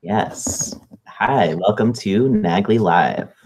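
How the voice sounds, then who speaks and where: A thirty-year-old man co-hosts a casual live chat. His voice sits very low, and he speaks in a measured, steady way with a few ums. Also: a little hiss or hum. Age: 30s. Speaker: co-host and social work intern.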